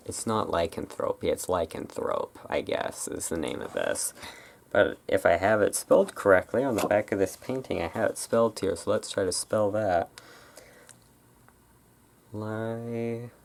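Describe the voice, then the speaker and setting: An ASMR host chatting casually in a rambling, halting way, as in an informal roleplay. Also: mid-range voice, creaky.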